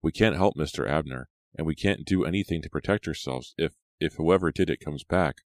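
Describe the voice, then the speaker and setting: A man's voice: extremely low pitch, brisk, dry recording. A man voicing a worried but determined teenage girl, earnest and insistent, in an audiobook story.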